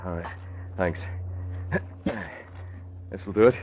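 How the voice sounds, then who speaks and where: Resolute vigilante lead for old radio serial, low, clipped, composed, muffled and hissy. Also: male voice, slow. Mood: brisk, resolute. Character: composed, resolute male masked crime-fighter. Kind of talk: 1940s radio acting. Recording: dull, noisy old radio recording.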